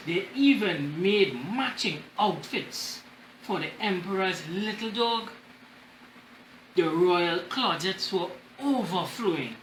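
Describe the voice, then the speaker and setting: A man's voice: high-pitched, lively intonation, slow pace, fairly bright tone. A man with a Trinidadian accent hosts a children's storytime, reading expressively and explaining phrases in simple words. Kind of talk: animated picture-book reading. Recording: roomy echo with faint noise.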